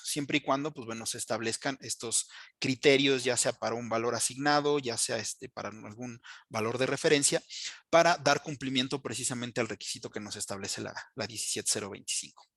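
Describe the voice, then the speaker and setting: Man explaining haltingly, dry close sound. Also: medium pitch, fairly bright tone, dry recording. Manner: halting, with frequent filler words and check-in tags. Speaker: instructor, training presenter. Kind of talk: explanatory technical training presentation.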